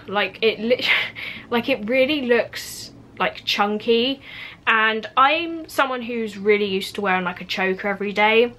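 A woman's voice: mid-range voice, pushed and bright. A young woman in her twenties, just over a cold, chatting casually through a clothing haul review in her bedroom, with many "like" fillers. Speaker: fashion vlogger. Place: bedroom at home, indoors.

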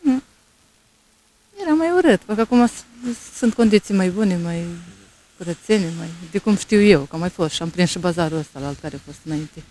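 A woman's voice: fairly low pitch, animated, warm tone, poor audio. A woman in her forties giving brief, tentative answers in a casual street interview on a handheld microphone. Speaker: passer-by interviewee. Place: outdoors on a snowy street. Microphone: reporter's handheld microphone.

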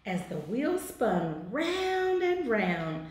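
A woman in her forties reading a children's picture book aloud with deliberate, expressive phrasing in an office. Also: on the high side. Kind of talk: children's storybook read-aloud. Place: small indoor office. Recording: very echoey, roomy sound.

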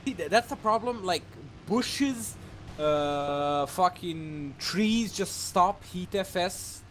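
A man's voice: high-pitched, animated, fairly bright tone, a little hiss or hum. Sweary man in casual gaming chat. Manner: sweary, halting. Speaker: video game player.

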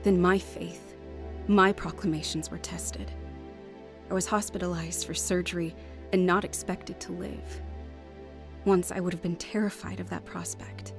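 A woman's voice: lowish voice, steady tone, measured pace, over music. An actress playing an earnest, devout wife in a radio drama, acting and narrating her heartfelt testimony. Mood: reflective narration.

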